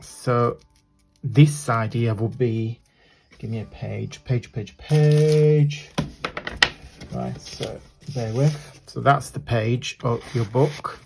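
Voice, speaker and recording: A man with a croaky voice hosting a casual craft tutorial, chatting and apologizing as he works with his hands at a desk. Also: mid-range voice, slow pace, over music.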